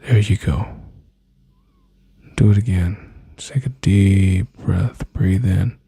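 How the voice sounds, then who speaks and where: A man with a deep, dark, husky voice talks slow and tender, close on the mic, coaxing the listener to breathe and relax. His words sink into breathy whispers in a flat, even line, with a gravelly edge throughout. The recording is dry and close. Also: dry recording. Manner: soft, slow, soothing, intimate address to listener. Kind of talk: ASMR boyfriend roleplay.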